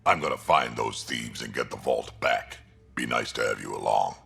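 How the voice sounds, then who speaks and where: An actor playing a gruff, blunt old krogan alien warrior with a raspy, gravelly growl in a video game. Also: male voice, very deep voice, moderate pace, fairly bright tone, faint music behind, exaggerated voice. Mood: grave, urgent.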